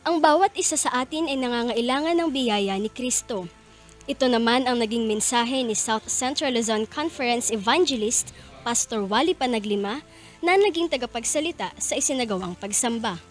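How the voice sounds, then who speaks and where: A young woman reporting in a measured, clear manner, holding a handheld mic on a street outdoors. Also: a little high, faint music behind. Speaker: field reporter. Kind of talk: scripted news report.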